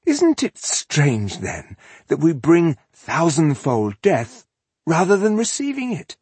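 A man's voice: on the high side, wildly swooping pitch, takes it slowly, a little mellow, husky, no echo, voice pushed up, exaggerated voice. A man voicing a suave, cruel elf-like warlord in a fantasy audiobook, velvety and mockingly polite in a conversational way. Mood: conversational, self-satisfied.

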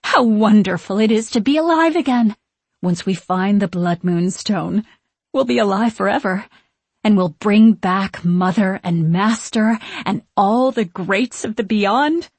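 A woman's voice: mid-range voice, measured pace, a little mellow, husky, dry recording. A woman playing a haughty, imperious villainous witch in an audiobook, with a piercing voice and grand, scornful archaic diction. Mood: exultant, grand. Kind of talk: audiobook character acting.

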